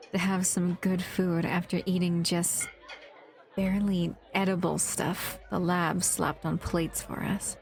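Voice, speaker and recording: A woman acting a protective, wistful monster who escaped a lab, speaking gently to the listener in an audio roleplay. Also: on the low side.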